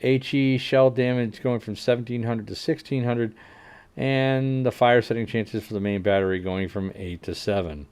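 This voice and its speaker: A man hosting a livestream, casually reading game update notes aloud and adding wry asides that trail off quietly. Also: medium pitch, steady tone, moderate pace, smooth. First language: English. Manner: frequent fillers and restarts while working out numbers. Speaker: livestream host.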